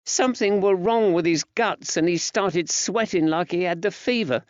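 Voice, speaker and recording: A man's voice: high-pitched, moderate pace, no echo, dull audio, voice pushed up, lightly acted. A man voicing a frightened, grieving working-class boy who speaks plaintively in an old-fashioned rustic dialect. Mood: upset, fearful.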